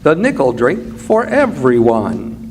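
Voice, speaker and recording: Lively male old-time radio announcer. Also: mid-range voice. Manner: promotional, measured delivery. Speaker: commercial announcer. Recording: live stage with faint noise.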